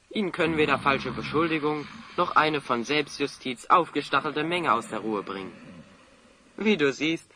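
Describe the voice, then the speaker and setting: A man playing a wise, calm and courteous adventurer in a radio play, assured and articulate. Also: a little high, a little hiss or hum. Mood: courteous, amused. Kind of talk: German radio play acting.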